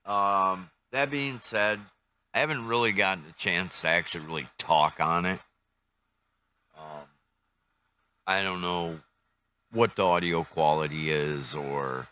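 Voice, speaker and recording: An older man talks through a casual hands-on review in a low-pitched voice with a bright edge. He takes his time, speaking slowly and tentatively as he works things out aloud. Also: a little hiss or hum. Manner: unhurried, tentative, thinking aloud. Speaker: radio reviewer.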